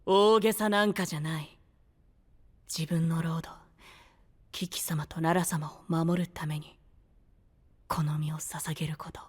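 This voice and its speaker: A man voicing a frail, gentle young male knight who speaks softly and half in whispers, over background music. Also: on the high side, fairly even intonation, a little slow, pauses often. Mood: solemn. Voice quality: soft, breathy, often whispered. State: weak and tired. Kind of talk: anime voice drama acting.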